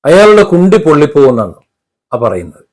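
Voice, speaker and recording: Man, slightly high-pitched voice, opinion commentary, critical, emphatic, halting delivery. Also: big pitch swings, a little mellow. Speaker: commentary vlogger.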